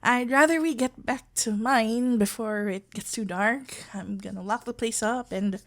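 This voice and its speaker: A woman playing a flustered, lovestruck young florist in an ASMR roleplay, stammering and halting, breathless and giddy. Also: fairly high pitch, fairly bright tone. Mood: shy, hopeful.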